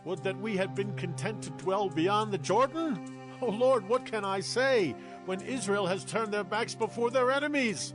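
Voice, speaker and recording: An actor playing a solemn, fervent Israelite military leader in a dramatized Bible production. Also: male voice, high voice, moderate pace, lightly acted. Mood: anguished pleading. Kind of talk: dramatized Bible audio acting. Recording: loud musical score behind. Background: music.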